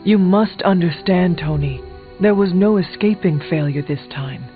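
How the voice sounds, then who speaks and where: An actor playing a solemn young warrior hero in a video game, speaking in a measured, formal and earnest way. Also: male voice, high voice, close to an everyday voice. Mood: restrained. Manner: measured, formal, avoids contractions. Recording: thin phone-like game audio, music behind.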